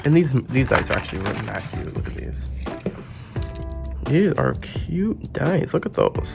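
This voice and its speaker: A man who is sick with a sore throat, his voice gravelly and rough. He talks in a casual, chatty way and gushes over the packaging as he walks through the cards. Music plays clearly underneath him. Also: medium pitch, big pitch swings, normal speed. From Canada. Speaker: trading card game YouTuber. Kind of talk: casual unboxing and deck review.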